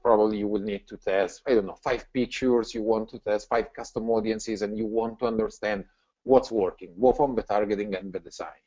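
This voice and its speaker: A man's voice: fairly low pitch, a little mellow. A man from Italy with an Italian accent, presenting casually on a webinar in a frank, plain-spoken way. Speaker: guest presenter. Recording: dull, lo-fi webinar audio.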